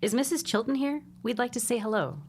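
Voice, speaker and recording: An actress playing a snide, nosy small-town gossip in a period audio drama, pointed and affected in manner. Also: female voice, fairly high pitch, quick pace, darkish tone, slightly rough. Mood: snooty.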